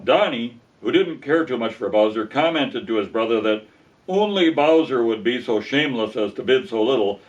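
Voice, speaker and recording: A man's voice: medium pitch, lively intonation, moderate pace. A man narrating a comic story aloud in a wry, folksy manner. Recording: dull lo-fi sound, distant from mic.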